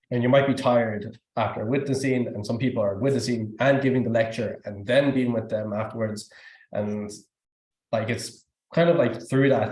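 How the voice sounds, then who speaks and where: A man's voice: mid-range voice, darkish tone. A young man with an Irish accent giving a rambling, informal testimony at a youth service, full of fillers and restarts. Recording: echoey room.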